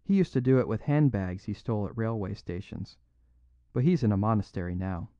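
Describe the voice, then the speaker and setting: A man's voice: lowish voice, lively intonation, brisk, dry recording, highs cut off, close to an everyday voice. A man doing a mild little apologetic priest. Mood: gentle. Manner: unaffected, gently apologetic.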